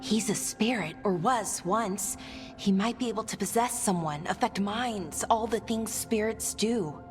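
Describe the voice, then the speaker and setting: A woman's voice: mid-range voice, measured pace, close to an everyday voice. A woman playing an earnest, inquisitive young adventurer in a video game cutscene. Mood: reasoning. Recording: long reverb, music behind.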